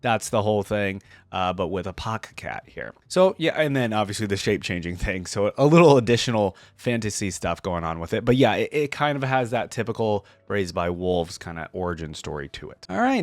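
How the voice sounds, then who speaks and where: A man's voice: medium pitch, no room sound. A man hosting a reaction video, reading stories aloud and commenting on them casually with informal asides.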